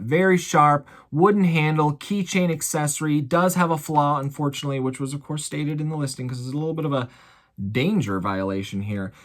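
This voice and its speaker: An American man talking casually to viewers as an online reseller running through a sales recap in a chatty, folksy showman's way. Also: on the high side. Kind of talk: casual vlog recap of items sold.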